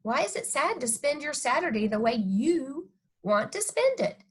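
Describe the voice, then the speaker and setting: A woman voicing an easygoing father who coaxes softly and persuasively in a children's audiobook. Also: high-pitched, sing-song, moderate pace. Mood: coaxing. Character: easygoing, gently persuasive father of a little girl.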